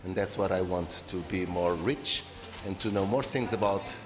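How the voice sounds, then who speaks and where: A man's voice: deep voice, normal speed. A man from Portugal introducing himself informally, repeating words as he searches for phrasing. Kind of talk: short self-introduction. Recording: long hall reverb.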